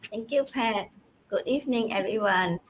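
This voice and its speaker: A woman's voice: medium pitch, moderate pace, slight background noise, low-quality recording. A woman casually introducing herself as a panelist on a live stream. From Malaysia. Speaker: online business owner, panelist.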